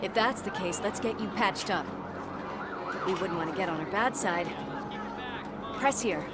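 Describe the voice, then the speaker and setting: Brisk, wry policewoman acted by a woman. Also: mid-range voice, close to an everyday voice. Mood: wry concern. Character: tough, wry, caring policewoman partner. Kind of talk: video game acting.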